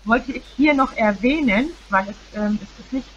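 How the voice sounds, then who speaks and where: A middle-aged woman co-hosting a casual chat from her living room on a phone handset, relaxed, with fillers and trailing sentences. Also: a little high, moderate pace, highs cut off, a little hiss or hum. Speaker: co-host. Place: home living room, indoors.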